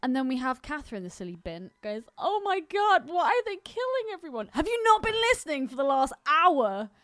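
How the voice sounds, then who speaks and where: Female podcast co-host, higher-pitched and very lively, blunt teasing banter, casual delivery, close dry sound. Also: fairly quick, no echo. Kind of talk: casual film commentary banter.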